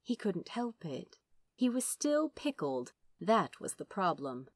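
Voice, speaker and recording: A woman narrates a story in an emotive, dramatic audiobook style. Her voice sits fairly low but swings widely in pitch, and she takes her time over the lines. The sound is close and dry. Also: very animated, slow pace, no room sound. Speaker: fan fiction narrator. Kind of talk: expressive scripted audiobook narration.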